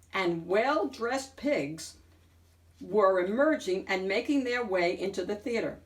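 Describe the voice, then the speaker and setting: Slow, distant-miked woman reading a storybook. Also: fairly low pitch. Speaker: storyteller, picture book reader. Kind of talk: expressive read-aloud narration for children.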